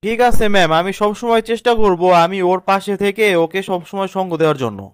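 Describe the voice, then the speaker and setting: A protective, principled young cartoon husband acted by a man, with a high, smooth voice. He talks fast and earnestly in colloquial Bangladeshi speech, explaining and lecturing. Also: no room sound, studio quality, lightly acted. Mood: earnest, pleading. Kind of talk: cartoon drama dialogue.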